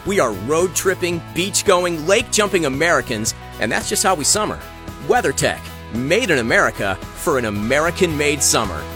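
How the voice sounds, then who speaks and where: A man with a slightly high, lively voice delivers an energetic commercial read. Faint guitar music sits behind him. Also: measured pace. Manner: energetic, patriotic pitch. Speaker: commercial announcer. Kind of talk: upbeat car-accessory ad read.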